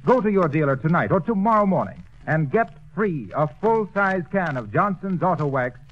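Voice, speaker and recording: A man announcing, reading an ad and narration in a brisk salesman's pitch. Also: expressive. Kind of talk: scripted ad read and narration. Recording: dull 1930s radio recording.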